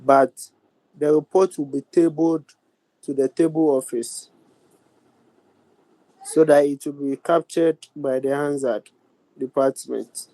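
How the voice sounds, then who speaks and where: A man with a Ghanaian accent chairing a youth parliament sitting in a formal, deliberate, halting way on a video call. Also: a little high, fairly even intonation, warm tone, no echo, rough recording. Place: virtual meeting over video call.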